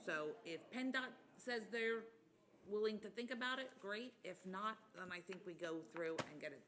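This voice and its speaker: A man's voice: high-pitched, moderate pace, pushed and bright. A man on a borough council, speaking plainly and directly during a meeting. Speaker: council member. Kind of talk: casual meeting discussion. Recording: noisy meeting room audio.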